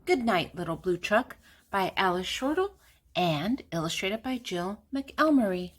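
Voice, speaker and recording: A woman's voice: medium pitch, big pitch swings, unhurried. An older woman reading a children's picture book aloud, speaking simply and directly to young listeners. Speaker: children's storybook reader.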